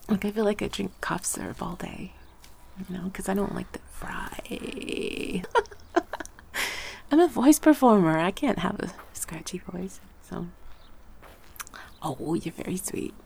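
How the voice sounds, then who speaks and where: A woman talking softly to the listener, drifting in and out of whispers. Her voice carries some gravelly fry and a touch of congestion from a cold. Her pitch sits in a normal middle range. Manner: gentle, mixes soft speech with whispers. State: a little congested, fighting a cold. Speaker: voice performer. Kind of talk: soft-spoken ASMR roleplay chat.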